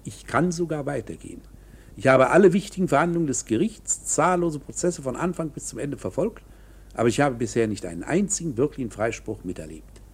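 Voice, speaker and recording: An actor in a German radio play playing a knowing, coolly matter-of-fact court insider who explains things in a measured, insinuating, officious way. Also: male voice, medium pitch, expressive, slightly rough. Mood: coolly lecturing.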